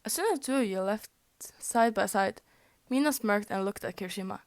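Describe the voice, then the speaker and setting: A woman's voice: medium pitch, a little fast, no room sound. A young woman whose first language is Norwegian, reading fan fiction aloud, often tripping over words and laughing at her slips. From Norway. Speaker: fanfic reader.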